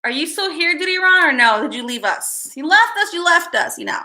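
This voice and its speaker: High bright-voiced woman rambling to her livestream chat. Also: lively intonation, brisk. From Chicago, USA. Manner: rambling, chatty, reads viewer names aloud. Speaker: livestream host.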